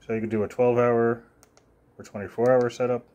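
A man giving a casual, unscripted product demo in an offhand, halting way, thinking aloud as he goes. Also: medium pitch, little pitch movement, darkish tone, highs cut off. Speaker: presenter of a gadget demonstration. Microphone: phone held near the hands filming.

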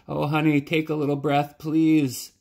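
A man acts out an anguished country boy in a storybook. The voice is pushed high, raw with grief and fierce love, in plain ungrammatical folk speech. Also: monotone, measured pace, gravelly. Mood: pleading. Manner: folksy ungrammatical speech, pleading and shouting. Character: grief-stricken poor boy, anguished, defiant and fiercely loving.

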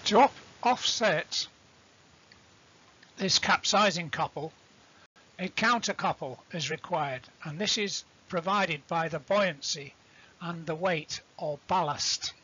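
A man giving an explanatory lecture as an instructor, deliberate and didactic, defining terms as he goes. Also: fairly high pitch, sharp tone, husky, dull audio. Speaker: instructor presenting a sailing tutorial.

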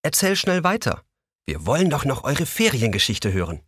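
A man narrating a children's audio play, expressive and speaking directly to young listeners. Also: mid-range voice, normal speed, breathy edge, no room sound, clean studio sound.

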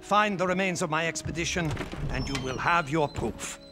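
An actor playing a wise old man, a grave mentor in a video game, speaking formally and with measured weight. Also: a little high, close to an everyday voice. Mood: solemn, urgent. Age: older. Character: old male expedition survivor, wise, grave village mentor. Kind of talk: dramatic video game cutscene acting. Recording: clear music under the dialogue.

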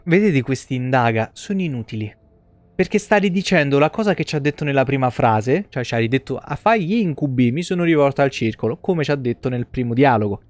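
A man's voice: medium pitch, lively intonation, a little fast, no echo. An Italian man, around his thirties, doing casual, sarcastic live-stream game commentary with colloquial swearing.

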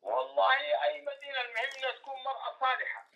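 A man in his sixties from Yemen, calling in by phone, answering haltingly and asking for questions to be repeated. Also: extremely high pitch, fairly even intonation, creaky, sharp tone. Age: 60s. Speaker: caller. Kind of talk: phone call. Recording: muffled phone line with faint noise.

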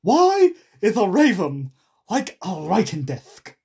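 A woman voicing a blunt, eccentric, argumentative man in a storybook, loud and drawn-out. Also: mid-range voice, huge pitch swings, slightly rough, voice pushed up, exaggerated voice. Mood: blunt, odd.

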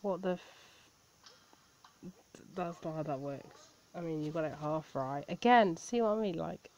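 A woman's voice: deep voice, a little slow, a little mellow. A woman giving blunt, incredulous reaction commentary, swearing and laughing as she talks. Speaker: video reaction host. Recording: dull lo-fi sound with faint noise.

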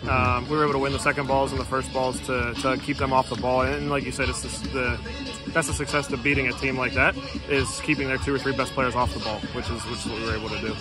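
A man in his thirties, a soccer coach giving casual, measured post-match answers outdoors, repeating words as he gathers his thoughts. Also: mid-range voice, flat delivery, over loud music. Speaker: high school soccer coach. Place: outdoor stadium trackside.

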